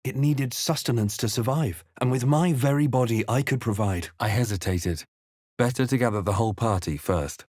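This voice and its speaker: A male actor playing a brooding, resolute fantasy RPG adventurer in a video game, with a measured, dramatic storytelling delivery. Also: medium pitch, a little mellow, no echo, clean studio sound, natural voice. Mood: dry, terse. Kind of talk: scripted video game character lines and narration.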